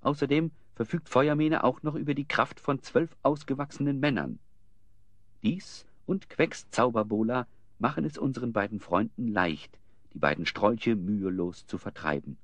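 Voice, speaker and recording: A man's voice: medium pitch, moderate pace, dry recording, lightly acted. A man narrating a children's fantasy audio drama as a scripted storyteller. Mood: dramatic.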